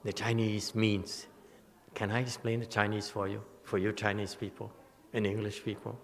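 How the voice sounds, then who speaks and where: A man giving a Buddhist scripture lecture as a teacher, halting and repetitive, often checking that listeners follow. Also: fairly low pitch, flat delivery. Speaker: Buddhist teacher, dharma host. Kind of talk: sermon, explanatory scripture lecture. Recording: long echoing hall reverb.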